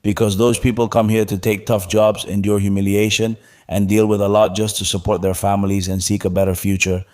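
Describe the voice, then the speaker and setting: Interview guest: man from Morocco, low pitch, blunt, speaks in repeated short phrases. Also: flat delivery, fairly quick, hardly any pauses, darkish tone. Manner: blunt, repeats his points. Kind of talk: casual interview talk.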